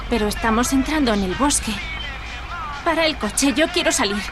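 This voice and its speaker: Very high-pitched, frightened young woman. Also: animated, normal speed, over music. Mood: wary, alarmed. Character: wary, frightened young female hitchhiker. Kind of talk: Spanish radio drama acting.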